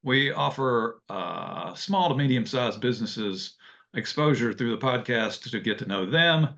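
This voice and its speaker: A man hosting a business podcast, speaking in a friendly, halting way with many filler words. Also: medium pitch, expressive, vocal fry, fairly bright tone, highs cut off. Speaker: podcast host. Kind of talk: podcast interview.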